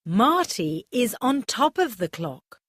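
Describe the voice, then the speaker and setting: A woman narrating a scripted children's story in simple, deliberate sentences for young listeners. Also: on the high side, very animated, a bit bright.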